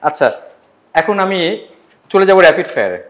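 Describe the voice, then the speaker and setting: A man's voice: sits high, very animated, moderate pace, music in the background. A man with a Bangladeshi accent hosting a casual celebrity interview in an informal, familiar way. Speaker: talk show host. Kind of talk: casual celebrity interview with rapid-fire questions.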